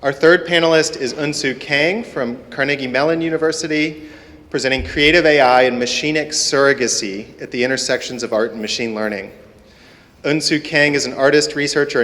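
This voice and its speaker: A man serves as a conference moderator, introducing speakers from prepared notes. His voice sits at a middle pitch. It rings with the long reverb of a large hall. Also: a bit bright. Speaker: panel moderator. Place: conference hall at a university symposium.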